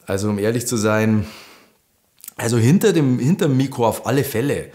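Man, 30s, Lower Bavarian accent, lively to-camera storyteller, mid-range voice, recorded in a living room. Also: moderate pace. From Lower Bavaria, Germany. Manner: chatty, animated, gestures with hands. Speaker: host.

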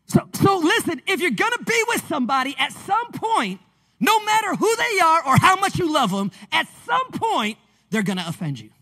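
A man, preaching a lively, conversational sermon on a church stage into a handheld mic. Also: extremely high pitch, moderate pace. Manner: animated, conversational preaching with call-and-response. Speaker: pastor. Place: large church stage with screens. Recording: long reverb of a large hall.

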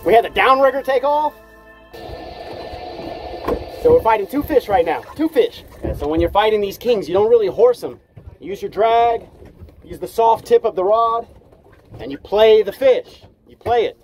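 Man, 40s, high-pitched, upbeat outdoor fishing vlogger on a boat. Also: lively intonation, normal speed, pauses often, darkish tone, soft background music, low-quality recording. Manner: upbeat, narrates while fighting fish, exclamations. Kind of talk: excited fishing narration. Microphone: action camera, sometimes selfie at arm's length.

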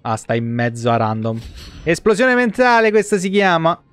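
A man's voice: fairly high pitch, big pitch swings, normal speed, a bit bright, clean tone, no room sound, faint music behind. A man giving casual, foul-mouthed and jokey live game commentary in slangy speech. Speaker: video game streamer.

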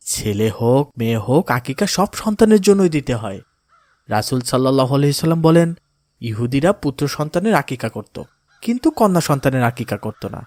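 A man voicing a pious, kindly father in a moral cartoon, giving gentle counsel in a calm, polite, instructive way. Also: on the high side, no room sound. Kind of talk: cartoon voice acting in moral story.

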